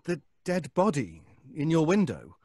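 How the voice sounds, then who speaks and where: An actor playing a nervous, obsessive neighbor in an old-time radio suspense drama, stammering and pleading. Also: male voice, a little high, wildly swooping pitch, a little slow, a little mellow, no echo, clean studio sound, heavily acted. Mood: insistent, anxious. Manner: stammering, hesitant, breaking off.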